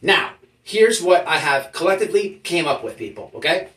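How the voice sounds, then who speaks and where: Roomy, animated YouTube host with swooping pitch. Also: moderate pace. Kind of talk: mock-serious reaction commentary. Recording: roomy echo, distant from the mic.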